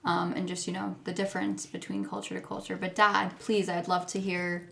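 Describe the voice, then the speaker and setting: A woman's voice: on the low side, sing-song, normal speed, vocal fry, pushed and bright. A young woman hosting a casual family podcast, chatty and asking her relatives leading questions. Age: young adult. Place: family home, around a table. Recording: echoey room.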